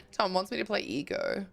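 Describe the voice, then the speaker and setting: A woman streaming live, her voice croaky, chatting casually with viewers and thanking them as she plays. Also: medium pitch, a bit bright. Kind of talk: casual live-stream chat while gaming.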